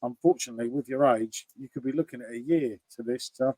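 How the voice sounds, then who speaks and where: A man's voice: medium pitch, fast, mellow, husky, dry recording, low-quality recording. A man in his fifties chatting informally and telling anecdotes as a guest on a video call. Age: 50s. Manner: informal, matey. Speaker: guest on a chat show. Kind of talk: casual chat, anecdotes. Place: living room, indoors. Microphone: video call, sitting back from the camera.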